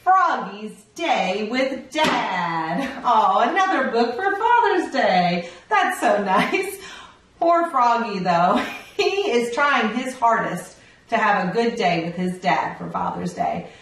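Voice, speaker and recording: A woman hosting a children's read-aloud in a cheerful, expressive manner with sing-song emphasis. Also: fairly high pitch, slow, heavy reverb, distant mic, slight background noise. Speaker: children's story host and reader.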